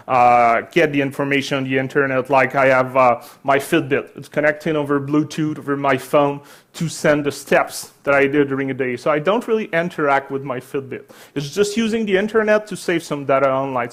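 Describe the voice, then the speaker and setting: French-accented man giving a chatty tech talk. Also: a little high. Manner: chatty, joking, many "uh" fillers. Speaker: conference speaker. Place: conference hall.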